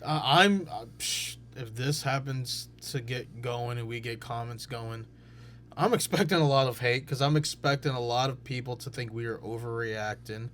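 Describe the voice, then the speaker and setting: Young guy on a sports podcast, pushy and sure of his takes, mid pitch. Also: moderate pace, fairly bright tone, slight background noise. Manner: assertive, argues in bursts. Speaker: podcast co-host. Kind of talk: casual sports debate.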